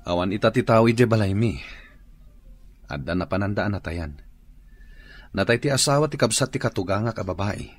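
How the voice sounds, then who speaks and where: A man playing an easygoing, flirty married man with a husky voice in an Ilocano radio drama. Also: on the low side, normal speed, pauses often, natural voice. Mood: casual, agreeable.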